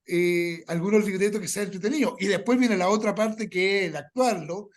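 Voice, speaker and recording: A Chilean man with a notably high voice hosts an informal workshop. He repeats himself as he explains and often adds little tag questions to check that people follow. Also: fairly quick. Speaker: workshop host.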